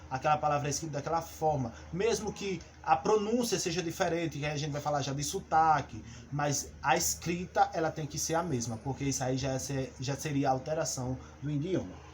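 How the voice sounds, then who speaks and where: A male teacher the explains his lesson step by step. He pauses on fillers and restarts as he goes. His voice sits a little high, with faint noise behind it. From Brazil. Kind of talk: recorded class lesson.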